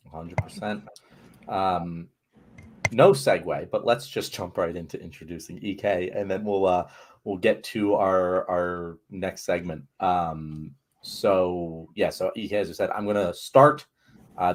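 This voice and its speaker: A man hosting a casual political podcast, talking in a loose, relaxed way with fillers and restarts. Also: low voice, a little slow. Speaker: podcast host.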